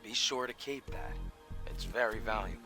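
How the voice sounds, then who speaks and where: A man's voice: lowish voice, normal speed, vocal fry. An actor playing a helpful, knowledgeable male scavenger companion in a sci-fi video game. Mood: informative. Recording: game dialogue buried under loud music.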